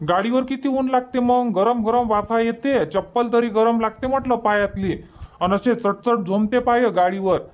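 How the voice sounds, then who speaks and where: A man voicing an impatient, bossy village family man in a cartoon comedy, rambling in colloquial Vidarbha Marathi. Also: very high-pitched.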